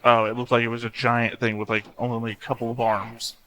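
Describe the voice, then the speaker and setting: Bright, forceful man reacting casually. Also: mid-range voice, little pitch movement, fairly quick. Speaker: reaction video host.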